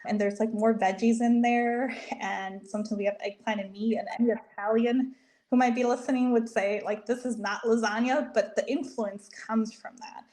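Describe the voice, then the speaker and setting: A woman's voice: fairly high pitch, steady tone, normal speed, a little mellow, rough recording. A woman whose first language is Spanish, chatting casually as an interview guest and explaining things with little quoted asides. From Bolivia. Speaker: podcast guest, dietitian.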